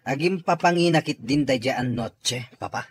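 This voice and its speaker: A man's voice: mid-range voice, normal speed, breathy edge, dry recording, close to an everyday voice. A man with an Ilocano Filipino accent acting a petulant grown son and suitor in a radio comedy-drama. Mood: sulky.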